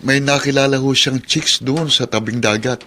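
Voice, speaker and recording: Mid-pitched young man, teasing played by an actor. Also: steady tone, normal speed, pushed and bright, a little hiss or hum, natural voice. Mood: curious, teasing. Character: teasing, respectful young male cousin. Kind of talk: Filipino radio drama acting.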